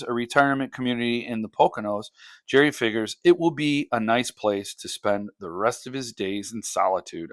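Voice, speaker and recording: A man hosting a book vlog, talking informally and haltingly as he thinks aloud. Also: medium pitch, bright, forceful tone. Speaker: host of a book unboxing channel. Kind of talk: casual unscripted book vlog chat.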